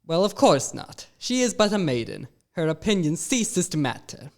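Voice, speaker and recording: A man's voice: on the high side, sing-song, moderate pace, gravelly, darkish tone. A man playing a fussy old-world book printer who speaks with courtly, old-fashioned phrasing. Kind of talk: amateur audio drama.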